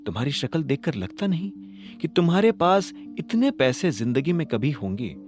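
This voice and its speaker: A man's voice: a little high, very animated, normal speed, darkish tone, faint music behind. A man voicing a smug, gloating young woman who taunts her rival in an audio drama. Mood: taunting. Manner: mocking, gloating.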